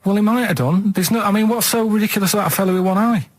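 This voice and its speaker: A man's voice: high voice, fairly even intonation, very fast. An English man co-hosting a radio show, rambling in an earnest, matter-of-fact, colloquial way. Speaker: radio show co-host telling odd stories. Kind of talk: rambling casual chat. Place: radio studio.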